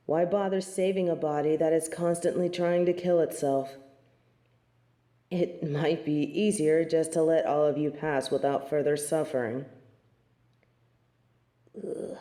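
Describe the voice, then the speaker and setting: Haughty, slow-spoken angel of judgement played by a woman. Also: low-pitched, mellow. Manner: disdainful, imperious, formal speech. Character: haughty, merciless female angel of judgement, snobbish and self-righteous. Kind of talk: scripted audio drama acting. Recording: roomy, echoing sound.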